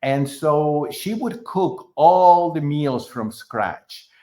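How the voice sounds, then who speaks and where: A middle-aged man from Argentina, a concert pianist being interviewed, who talks in a halting way with many fillers and restarts. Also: on the high side, animated, mellow. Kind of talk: conversational interview.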